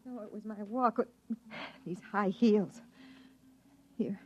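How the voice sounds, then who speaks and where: An actress playing a frightened, fragile young wife, anxious, pleading and overwrought, in 1950s radio drama style. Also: female voice, medium pitch, slow pace. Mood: anxious, urgent. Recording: muffled, noisy vintage radio recording.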